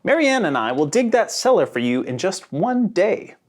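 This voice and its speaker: A man's voice: high-pitched, big pitch swings, normal speed, pitched above the performer's own voice. A man voicing an eager, confident steam shovel operator in a children's storybook, upbeat and emphatic.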